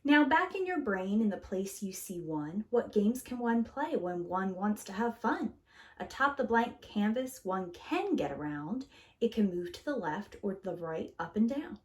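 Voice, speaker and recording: A woman reading a children's picture book aloud as a preschool teacher, in an expressive, theatrical way with drawn-out key words. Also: medium pitch.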